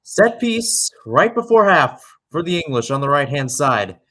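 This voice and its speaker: A man's voice: a little high, sing-song, a little slow, a bit bright. A young man calling live soccer play-by-play in a radio style, often correcting himself and slipping into conversational asides. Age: young adult. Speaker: play-by-play commentator.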